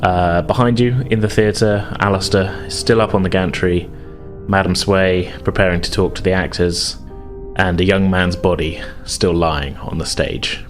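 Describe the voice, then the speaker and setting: A man's voice: deep voice, fairly even intonation, measured pace. A man improvising tabletop game narration as game master, in a tentative, conversational way. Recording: music behind the voice.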